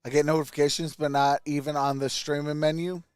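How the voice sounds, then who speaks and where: A man's voice: a little high, little pitch movement, a little fast, darkish tone, smooth, no echo, studio quality. A man hosting a sports livestream, casually mixing play-by-play with informal, chatty replies to viewers. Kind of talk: casual live play-by-play and chat.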